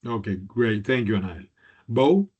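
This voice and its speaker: Halting male instructor on a muffled video call. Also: lowish voice, expressive, darkish tone, husky. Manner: halting, repeats words, drops into Spanish and Italian. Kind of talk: online class introductions.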